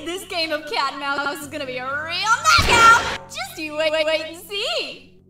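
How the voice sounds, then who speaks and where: A woman's voice: sits high, expressive, moderate pace. A woman voicing a taunting, gleefully sinister female animatronic fox in theatrical, sing-song villain lines. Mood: sly menace. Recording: roomy, distant sound under loud music. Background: loud music.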